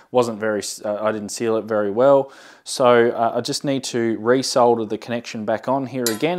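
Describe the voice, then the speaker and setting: A man in his thirties talking casually to camera as a hobbyist video host, giving a hands-on show-and-tell. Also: mid-range voice. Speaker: amateur radio hobbyist and channel host. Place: indoor workshop storeroom with shelving. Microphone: lapel mic.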